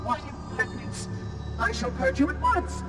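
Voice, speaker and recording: An actor voicing a small, ancient floating robot from a sci-fi video game, with fussy, pompous diction and a synthetic tinge, cheerful and officious with menace beneath. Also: male voice, extremely high pitch, lively intonation, moderate pace, recorded from a distance, music in the background. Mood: indignant.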